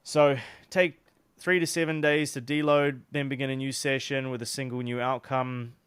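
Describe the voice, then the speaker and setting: A man's voice: medium pitch, flat delivery, moderate pace, a bit bright, clear, resonant tone, no echo. A young man with a New Zealand accent giving casual advice to camera in a loose, chatty way, with lots of fillers and restarts. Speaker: online business coach.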